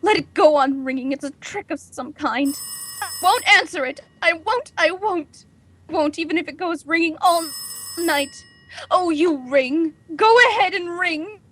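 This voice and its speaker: An actress playing a nervous, imperious housewife on edge, in a melodramatic 1940s radio drama style. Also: female voice, extremely high pitch, a little slow, fairly bright tone. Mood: anxious, agitated. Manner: haughty, melodramatic, agitated. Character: nervous, imperious, panicking housewife alone at night.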